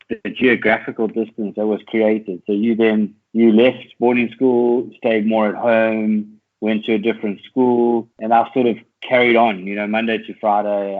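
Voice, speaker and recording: South African man over a tinny call. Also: fairly low pitch. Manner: thoughtful, hesitant, many restarts. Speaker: podcast guest, older brother. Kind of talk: casual conversation. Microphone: Skype call. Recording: tinny internet call audio.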